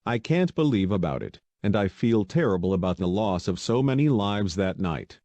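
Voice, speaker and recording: A man's voice: on the low side, animated, normal speed, mellow, dry recording, muffled recording, close to an everyday voice. A man playing a local TV news anchor, reading a scripted, serious breaking-news bulletin. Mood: grave, concerned. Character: male local TV news anchor reporting an attack.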